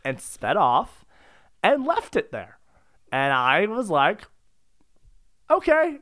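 A man with a high, bright voice and a very animated delivery. He talks casually and comically as a podcast co-host, excitable and prone to giggly protest. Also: takes it slowly. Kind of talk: casual comedic storytelling.

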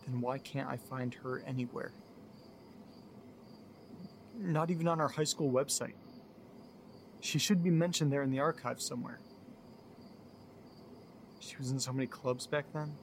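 An actor playing a worried, baffled young man in an audio drama, speaking haltingly as if thinking aloud. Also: mid-range voice, a little hiss or hum, close to an everyday voice. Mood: troubled, baffled. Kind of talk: audio drama monologue, recorded-diary narration.

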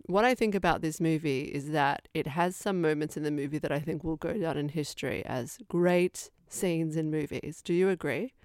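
An Australian woman co-hosting a podcast, leading the chat with an emphatic, repetitive style. Her voice is slightly low and sounds dry and close. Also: moderate pace, few pauses, darkish tone, no room sound. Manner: emphatic, repeats words. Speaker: podcast co-host. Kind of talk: casual chat.

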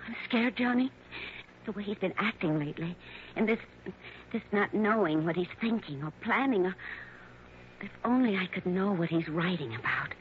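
Actress as anxious wife on muffled old radio. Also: female voice, mid-range voice, close to an everyday voice. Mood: frightened, pleading. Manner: hesitant, pleading, breathless dramatic emphasis. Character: frightened, anxious wife who suspects her husband. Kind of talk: 1960s radio drama acting. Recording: vintage radio recording, muffled, light noise.

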